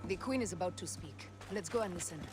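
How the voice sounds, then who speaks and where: A woman's voice: fairly low pitch, quick pace, over music, lightly acted. An actress playing a resolute, intense woman warrior loyal to Egypt in a video game. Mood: dry, familiar. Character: fierce, devoted woman warrior sworn to protect Egypt. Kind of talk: dramatic video game acting.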